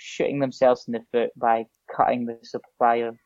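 A man's voice: mid-range voice, little pitch movement, moderate pace, darkish tone, no room sound. Scottish man in a dull, dry recording. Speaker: SEO guest. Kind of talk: casual podcast chat. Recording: dull lo-fi podcast audio.

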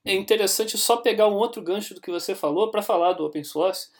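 A man with a Brazilian Portuguese accent, a software developer guesting on a podcast and chatting in a relaxed way, with many fillers and restarts. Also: high-pitched, brisk. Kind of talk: casual chat.

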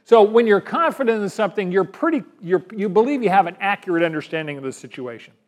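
A man with a high-pitched voice delivers a sermon. His preaching is emphatic and keeps turning to the congregation with rhetorical questions. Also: expressive, fast. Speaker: pastor.

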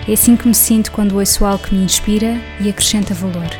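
A woman with a European Portuguese accent hosts a podcast and introduces the episode in a measured, friendly tone. Her voice sits at a mid pitch and her delivery is plain. When she chats with her guest she talks more briskly. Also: steady tone, darkish tone, faint music behind. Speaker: podcast host.

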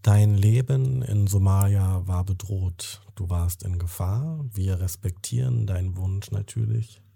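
Gravelly, dark-voiced male interviewer. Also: low-pitched, a little slow, no echo. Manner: calm, reassuring, sums up what the guest said. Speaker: podcast host and interviewer. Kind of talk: gentle interview questioning.

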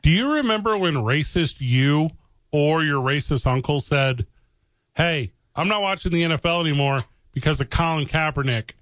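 Male podcast co-host from the St. Louis area, higher voice, playful joking riffs, dry close mic. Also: lively intonation, normal speed, fairly bright tone, no echo. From St. Louis area, USA. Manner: joking, riffing storyteller. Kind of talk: casual sports chat.

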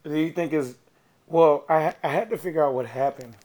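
Raspy-voiced man in casual banter. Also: a little high, moderate pace, darkish tone. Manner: loose and joking, slangy. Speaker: podcast co-host. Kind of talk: casual chat.

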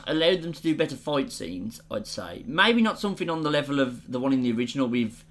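A man's voice: mid-range voice, sing-song, fast talker, fairly bright tone. A man co-hosting a casual film podcast, joking playfully and often trailing off mid-thought. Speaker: podcast co-host.

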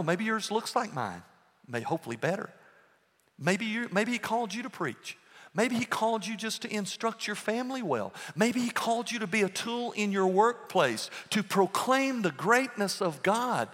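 A pastor preaching a sermon, emphatic and full of rhetorical questions. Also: male voice, sits high, fairly bright tone. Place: church sanctuary. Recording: long reverb in a church hall.

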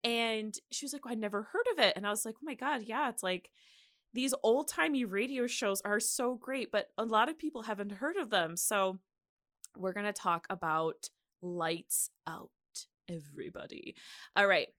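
Bright-voiced woman chatting as podcast host. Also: mid-range voice, normal speed, no room sound, studio quality. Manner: animated, giggly, conversational. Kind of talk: casual chatty podcast talk.

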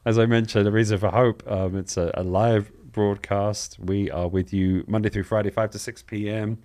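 A man's voice: lowish voice, steady tone, moderate pace, darkish tone. A man hosting a live question-and-answer broadcast, chatty and welcoming, explaining things informally. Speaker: host of a live Bible question show.

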